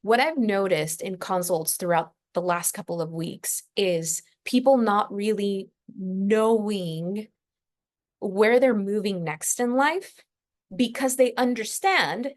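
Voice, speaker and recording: A woman hosting an astrology podcast, speaking unscripted in a reflective, emphatic way with deliberate pauses mid-thought. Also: mid-range voice. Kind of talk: unscripted spiritual astrology lesson.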